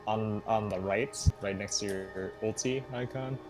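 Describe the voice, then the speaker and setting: A man's voice: on the low side, measured pace, music in the background, low-quality recording. A young man chatting casually as a co-player in a let's play. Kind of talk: casual chat while gaming.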